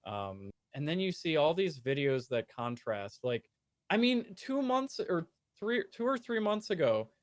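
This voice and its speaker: A man in his late twenties from the United States talks things through earnestly, pausing on fillers and restarting his sentences. His voice sits a bit high for a man, and the sound is dry and close with no room around it. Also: big pitch swings, dry recording. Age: 20s. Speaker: streamer guest. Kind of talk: casual conversation.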